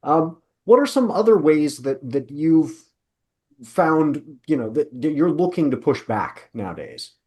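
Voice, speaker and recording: A man's voice: a little high, big pitch swings, measured pace, a little mellow. A man hosting a casual panel interview, speaking in an affirming way with hesitant, searching phrasing. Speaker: podcast host.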